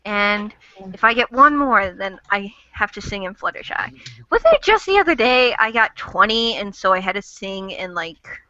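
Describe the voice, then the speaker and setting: A woman's voice: medium pitch, very animated, normal speed, pushed and bright. A woman hosting a casual fan chat, rambling with lots of fillers. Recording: lo-fi audio.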